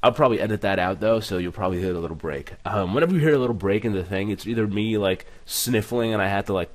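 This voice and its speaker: A man's voice: lowish voice, quick pace. A man hosting a let's play, casually reading game text aloud with some stumbles and commenting on it. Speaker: gaming video host.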